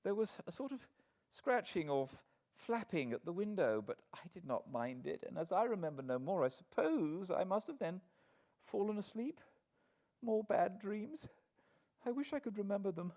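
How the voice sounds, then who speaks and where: A man's voice: high-pitched, very animated, normal speed, darkish tone, pitched above the performer's own voice. A British man voicing a frail, anxious young woman who reads her diary in a weary, plaintive way, for an audiobook. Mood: weak, distressed. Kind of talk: dramatised audiobook diary reading.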